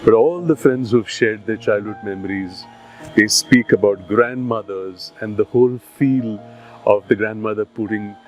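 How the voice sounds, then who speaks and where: Indian-accented man presenting, deliberate and emphatic. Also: fairly low pitch, poor audio. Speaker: series host and presenter. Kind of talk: scripted presentation to an audience. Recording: soft music behind. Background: soft plucked guitar music.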